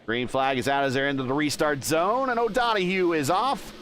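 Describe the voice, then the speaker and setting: A man doing live play-by-play commentary for a virtual truck race, chatty and quick to react to the action. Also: on the high side. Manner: excitable play-by-play, chatty with stream chat. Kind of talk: live sim racing commentary.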